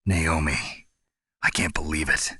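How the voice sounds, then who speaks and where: An actor playing a gruff, stoic soldier on a mission in a video game, with a rough, gravelly voice and a terse manner. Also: male voice, extremely low pitch, fairly even intonation, measured pace, bright, forceful tone, no echo, clean studio sound, exaggerated voice. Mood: serious, disbelieving. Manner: terse, questioning, hard-boiled. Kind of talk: dramatic video game voice acting.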